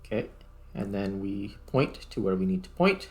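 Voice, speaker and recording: A man's voice: on the low side, animated, normal speed, soft background music. A man doing casual gameplay commentary, thinking aloud and talking through each step as he plays. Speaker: gaming video creator.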